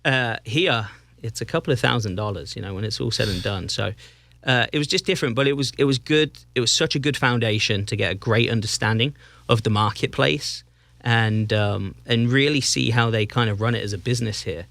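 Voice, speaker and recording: An English man from around Northampton talks easily as a podcast guest, with plenty of fillers and restarts. His mid-pitched voice sits close and dry, with no room around it. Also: vocal fry, a bit bright, no echo. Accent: English, Northampton area. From England. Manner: easygoing, halting with restarts and fillers. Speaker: guest, soccer club president. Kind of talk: casual interview chat.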